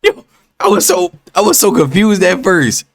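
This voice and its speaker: A young man streaming his reaction to a video in slangy, casual talk, his voice still hoarse from a sore throat. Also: high-pitched, huge pitch swings, normal speed, no echo. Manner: slangy, loose, talks straight to viewers. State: hoarse, voice recovering from strep throat.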